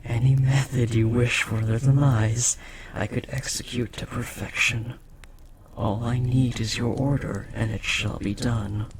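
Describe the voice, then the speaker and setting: Man playing a slow, gravelly devoted demon. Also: medium pitch, little pitch movement, lightly acted. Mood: jealous, indignant. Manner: formal, theatrical, breathy hushed phrasing. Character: powerful male demon bound to a sorcerer master, devoted, possessive. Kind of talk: dramatic audio roleplay monologue. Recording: clear background noise, ambience.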